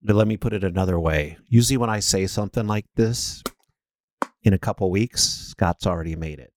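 A man's voice: low voice, brisk. A man co-hosting a podcast in casual, slangy banter. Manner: informal, slangy. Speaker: podcast co-host.

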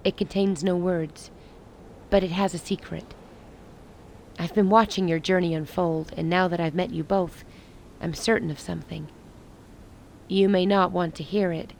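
Grave, low-voiced woman leader. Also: fairly even intonation, normal speed, vocal fry, long pauses, darkish tone, a little hiss or hum, rough recording. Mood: solemn, insistent. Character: grave, resolute woman leader of a besieged rebel city. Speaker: actress in a radio play. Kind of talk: dramatic radio play dialogue.

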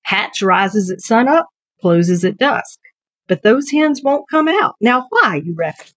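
A woman's voice: mid-range voice, sing-song, unhurried, no echo, dull audio, pitched above the performer's own voice. A woman voicing a kindly, folksy old henkeeper with rural turns of phrase in a short story reading. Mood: folksy puzzlement.

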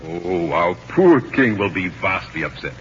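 A radio actor playing a scheming, pompous Spanish general in a 1940s comedy, with sardonic courtesy. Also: male voice, sits low, sing-song, a little slow. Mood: smug. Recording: muffled, noisy 1940s radio broadcast.

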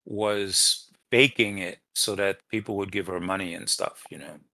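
A man co-hosting a casual book discussion, speaking in a halting, questioning way and often repeating himself. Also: low voice, gravelly, sharp tone, no echo. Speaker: podcast co-host.

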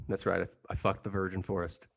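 A man's voice: low-pitched, flat delivery, a little fast, gravelly, a bit bright, husky, full character voice. A man playing a shameless, defensive murder suspect as a talk show guest in improvised comedy.

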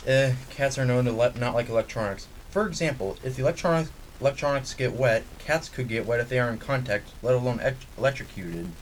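A male performer voicing an eager, easily scared pet dog with an excitable, wry delivery in an amateur radio comedy. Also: mid-range voice, brisk, natural voice, rough recording. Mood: earnest. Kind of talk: amateur old-time radio comedy drama. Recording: faint background noise.

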